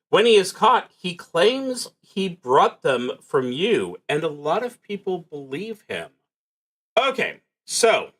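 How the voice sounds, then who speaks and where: A man narrating a solo tabletop game on a livestream, thinking aloud in a casual, halting way with pauses mid-sentence. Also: a little high, fairly bright tone. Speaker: solo tabletop roleplaying streamer.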